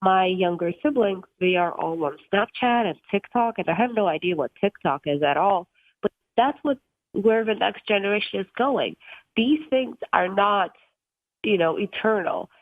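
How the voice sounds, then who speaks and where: A woman's voice: medium pitch, animated, moderate pace, no room sound. A woman in her twenties from Russia, a radio guest explaining policy earnestly with many ums and uhs. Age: 20s. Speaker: guest from a tech policy group. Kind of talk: radio interview on a phone line. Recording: tinny phone line, dry.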